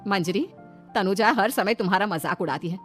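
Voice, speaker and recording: A woman's voice: mid-range voice, normal speed, faint music behind, close to an everyday voice. Woman doing a bossy, fussing mother-in-law. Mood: coaxing. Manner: commanding, fussy.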